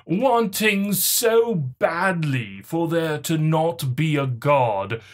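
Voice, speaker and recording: Man acting snobby male online zealot, highish pitch, slow haughty delivery, condescending, mock dramatic reading. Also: expressive. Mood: smug, scolding. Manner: pompous, haughty, drawn-out delivery.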